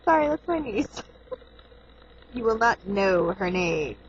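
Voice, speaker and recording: A woman's voice: mid-range voice, very animated, takes it slowly, a little hiss or hum. A woman voicing a goofy, deformed boy plushie in a home video, giggling and hamming it up, recorded on a home camcorder with dull, lo-fi audio. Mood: silly. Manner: giggling, playful and hammy. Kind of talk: home video play-acting.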